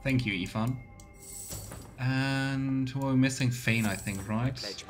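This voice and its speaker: A man streaming a video game with casual commentary and role-play asides, thinking out loud. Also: mid-range voice, slow pace, faint music behind.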